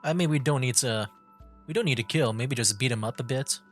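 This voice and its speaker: A man streaming a video game, making relaxed, offhand comments on the story and reading some lines aloud. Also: medium pitch, brisk. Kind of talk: casual gameplay commentary. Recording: mic voice over game music.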